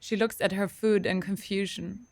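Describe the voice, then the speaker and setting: A woman's voice: mid-range voice, steady tone, normal speed, darkish tone, no echo. A woman narrating an audio drama from a script as the storyteller.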